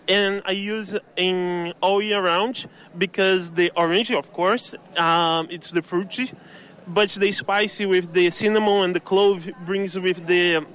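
A young man with an Irish accent, a candle maker at his stall, talking in a halting way and searching for words. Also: high-pitched, a bit bright. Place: outdoor show stall.

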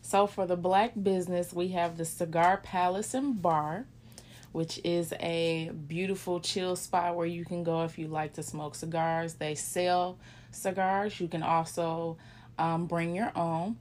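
Relaxed thirty-year-old woman, lowish voice. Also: a little slow, slight background noise. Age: 30s. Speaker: podcast co-host. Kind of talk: casual chat.

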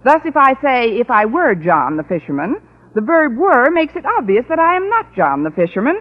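An actress playing a wry, articulate English teacher with precise diction, in old-time radio comedy style. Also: female voice, high-pitched, sing-song, normal speed. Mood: mock solemn. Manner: crisp schoolmarm diction, dry wit. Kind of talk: 1940s-50s radio sitcom acting. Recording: muffled old radio recording.

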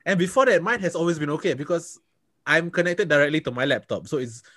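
A man's voice: a little high, lively intonation, quick pace, fairly bright tone, no echo. Singapore guy on a podcast, chatty, lots of "like" and laughs, dry mic sound. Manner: laughing, self-deprecating, chatty with filler words. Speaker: podcast co-host. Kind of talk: casual chat. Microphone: handheld microphone over a video call.

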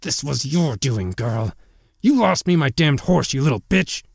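A man's voice: mid-range voice, animated, unhurried, creaky, dry recording, voice pushed up. A man performs a spiteful, cowardly horse owner for an audio storybook. The voice is pushed up from the reader's own and sputters and snarls, in a dry, close recording. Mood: furious, snarling.

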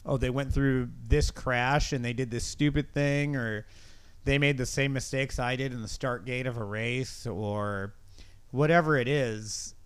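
A man's voice: mid-range voice, smooth, no echo. A middle-aged man hosting a podcast, telling stories in a casual, earnest and reflective way. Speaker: podcast host.

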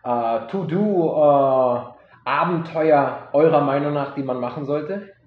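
A man's voice: on the high side, takes it slowly, roomy sound, narrow, tinny audio. A man hosting a travel podcast from his office, talking casually with many fillers and restarts. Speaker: podcast host, tour operator. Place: office, talking over a call.

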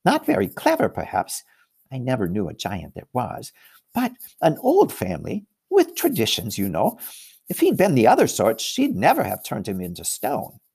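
A man voicing a fussy, eager male fawn in a raised, lively voice. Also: normal speed, gravelly, breathy edge, heavily acted. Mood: enthusiastic. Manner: high and fussy.

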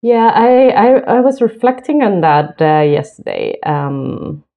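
Woman, 30s, interview guest, low voice, thoughtful and easygoing. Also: measured pace, vocal fry, phone-quality sound. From southern Chile. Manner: relaxed and thoughtful, with fillers and soft laughs. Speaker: guest, lighting designer. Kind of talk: casual interview talk. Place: home.

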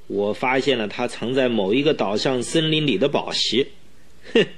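A man's voice: medium pitch, lightly acted. Man acting a gloating, swooping radio-drama villain. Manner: sneering, chuckling, boastful. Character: gloating male murderer, cruel, smug, mocking villain.

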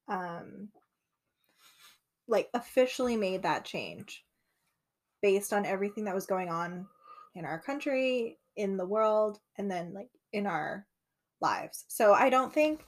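A young woman co-hosting a casual conversation, talking freely with frequent "like" fillers. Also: medium pitch. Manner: chatty, lots of "like" fillers. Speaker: podcast co-host.

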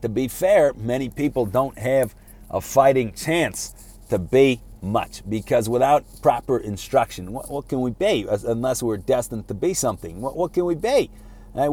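A man giving an informal motivational lecture in a halting, conversational way, often repeating words and posing rhetorical questions. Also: medium pitch, warm tone. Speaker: speaker giving a self-improvement talk.

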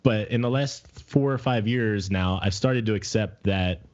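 A middle-aged man with a deep voice talking candidly and casually as a podcast co-host, reflecting as he searches for his words. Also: measured pace, darkish tone, dull audio. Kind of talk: casual personal conversation.

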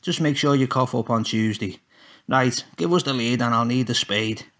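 A man playing a feared, gruff old grandfather who talks bluntly and barks his lines. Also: medium pitch, moderate pace, fairly bright tone, close to an everyday voice. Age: older. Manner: blunt, barking, scolding.

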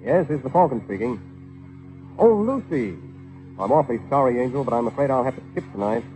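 An actor playing a suave, flirtatious detective with a smooth wisecracking manner, in 1940s radio crime drama style. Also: male voice, mid-range voice, expressive, a little fast, warm tone, soft background music, close to an everyday voice, rough recording. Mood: breezy.

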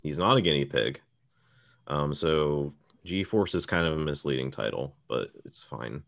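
A man's voice: extremely low pitch, a little slow, vocal fry, fairly bright tone. A man hosting a podcast, talking through films in a casual, conversational way. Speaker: podcast host. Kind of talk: casual film discussion.

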